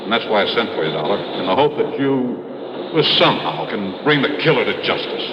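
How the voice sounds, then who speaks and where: An actor playing a grave, domineering mine boss in a 1950s radio drama, formal and emphatic. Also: male voice, lowish voice, animated, brisk, background noise, natural voice. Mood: grave insistence. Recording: muffled vintage radio recording.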